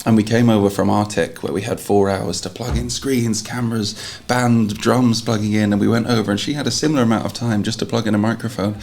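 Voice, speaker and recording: Fast-talking man with a gravelly voice. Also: lowish voice, fairly even intonation, darkish tone. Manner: quick, laughing, stumbles and repeats words. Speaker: performer and show director. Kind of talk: casual interview chat. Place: outdoors at a festival.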